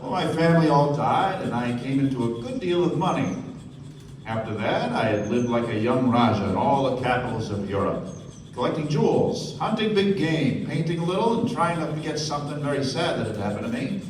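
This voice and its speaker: Actor as suave wealthy host, very echoey. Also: male voice, medium pitch, expressive, brisk, natural voice. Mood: earnest, confiding. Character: Gatsby, suave, courteous wealthy American host. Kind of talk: stage radio play acting. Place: theater stage before an audience. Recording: echoey stage, distant mic, noisy room.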